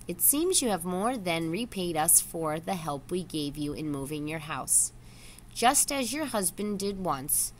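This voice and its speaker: A woman voicing a wise male rat leader in a children's storybook, formal, measured and grave. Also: on the low side, a little hiss or hum.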